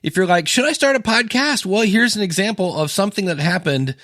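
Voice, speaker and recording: A man's voice: high voice, lively intonation, fairly quick, fairly bright tone, dry recording, clean studio sound. An American man from Ohio hosting a podcast in a casual, rambling, self-deprecating way, acting out quotes as he goes. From Ohio, United States. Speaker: podcast host. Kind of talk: casual solo podcast talk.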